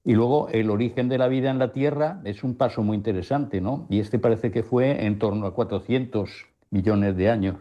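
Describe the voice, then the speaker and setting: A man's voice: fairly low pitch, moderate pace, few pauses, muffled recording. A man giving an informal explanation of science news as a panelist, tentative and checking in with his listeners.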